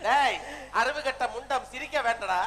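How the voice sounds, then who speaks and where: An actor playing the scolding ghost of a grand film-star leader in a stage comedy, theatrical and declamatory. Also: male voice, very high voice, normal speed, sharp tone. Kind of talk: stage comedy skit acting. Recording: echoey stage hall with music.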